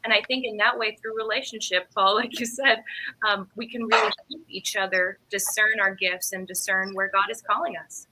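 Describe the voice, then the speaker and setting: A woman's voice: medium pitch, fairly even intonation, normal speed, background noise. A young adult woman speaking earnestly on a video call panel, chatting with fillers. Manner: earnest, conversational, many fillers. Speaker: lay ministry panelist. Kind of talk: casual panel discussion. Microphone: webcam on video call.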